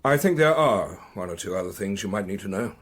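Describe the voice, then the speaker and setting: A flustered, husky-voiced academic man. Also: fairly low pitch, animated, a little fast. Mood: evasive, rattled. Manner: hesitant, agitated, defensive. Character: flustered, evasive male senior academic caught off guard. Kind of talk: full-cast radio drama acting.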